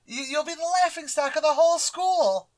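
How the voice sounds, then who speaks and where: High-voiced, fretful teenage schoolboy played by a woman. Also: a little fast, close to an everyday voice. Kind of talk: 1940s radio sitcom acting.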